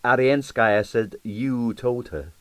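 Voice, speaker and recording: A man's voice: mid-range voice, slow, fairly bright tone, dry recording. A man reading the part of a tactful but blunt police detective in an audiobook, politely probing.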